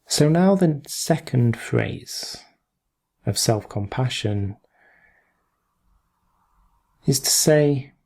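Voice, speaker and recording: A man leading a guided meditation in gentle instruction, unhurried, leaving long pauses between short phrases. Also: medium pitch, expressive, vocal fry.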